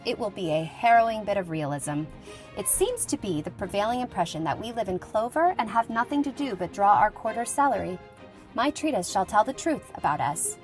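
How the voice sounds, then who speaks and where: A woman playing a lively young schoolteacher reading out her letter in a conversational, wryly humorous way, in an acted audiobook. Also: mid-range voice, close to an everyday voice. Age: young adult. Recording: music playing behind the voice.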